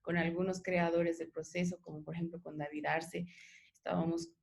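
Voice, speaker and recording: A woman's voice: on the low side, level tone, moderate pace, vocal fry, a little mellow. A woman with a Bolivian Spanish accent hosting a reflective interview, speaking in an articulate, discursive way with frequent tag questions. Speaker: interviewer, host of a radio art programme.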